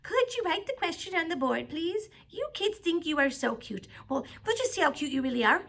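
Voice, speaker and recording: A short-tempered female schoolteacher from a children's storybook, as a woman reader puts her on. She is bossy and mocking, with a high, forceful voice that swings widely in pitch. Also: animated, fairly quick. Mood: mocking, menacing.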